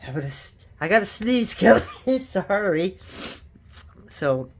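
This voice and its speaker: A craft vlogger who sounds a little sniffly and stuffed up. The speaker talks casually through a hands-on project and drifts into chatty asides. The voice sits at a middling pitch. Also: sing-song, measured pace, vocal fry. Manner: chatty and rambling, with frequent asides. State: sniffly, stuffed up from allergies or a cold. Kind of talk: casual tutorial chat while working.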